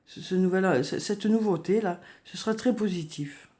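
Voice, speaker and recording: A woman with a cold giving a tarot reading, talking softly and hesitantly with many fillers and repeated words. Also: on the low side, normal speed. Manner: soft, hesitant, frequent "hein" and "euh", repeats words. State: has a cold, coughing. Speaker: tarot card reader. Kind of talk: monthly horoscope card reading.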